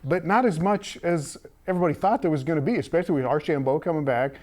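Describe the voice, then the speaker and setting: A man's voice: fairly high pitch, quick pace, darkish tone. A man hosting a sports show in a conversational studio-talk style.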